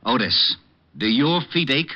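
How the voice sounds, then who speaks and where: An actor playing a gruff, sarcastic police lieutenant who is easily riled, in an old radio detective drama. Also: male voice, mid-range voice, expressive, unhurried. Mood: menacing sarcasm. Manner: blustering, sardonic, clipped. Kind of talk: 1940s radio detective acting. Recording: muffled old radio recording.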